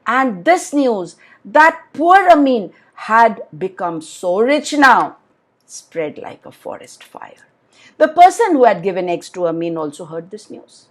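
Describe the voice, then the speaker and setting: A woman narrating a story for children in an expressive way, as a host speaking directly to young listeners. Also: fairly high pitch, measured pace.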